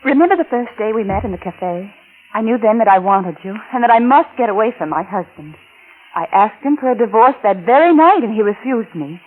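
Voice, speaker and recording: An actress playing a scheming, adulterous wife who is coolly manipulative and falsely tender, in a 1940s radio drama style. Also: female voice, fairly high pitch, expressive, fairly quick. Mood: pleading, manipulative. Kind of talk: 1940s radio drama acting with confessional narration. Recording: old lo-fi radio recording with music.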